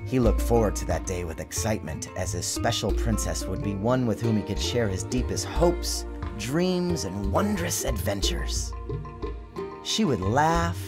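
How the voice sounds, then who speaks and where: A man giving a dramatic, expressive narration of a storybook, theatrical in his phrasing. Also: lowish voice. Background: music.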